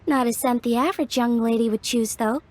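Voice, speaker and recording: An actress playing a polite, earnest and excitable young craftswoman in a video game. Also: fairly high pitch, a little fast, lightly acted.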